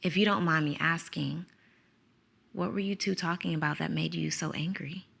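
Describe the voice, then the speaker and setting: Hesitant circus teacher , played by a woman, low and gravelly. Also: steady tone, a bit bright, heavily acted. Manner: hesitant, halting.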